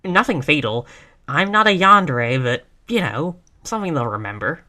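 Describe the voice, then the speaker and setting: A woman's voice: sits low, sing-song, moderate pace, pushed and bright, heavily acted. A woman playing a tough, reckless girlfriend in a roleplay audio, brash but affectionate, with casual, sweary bravado. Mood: cocky, defiant. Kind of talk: intimate roleplay audio acting.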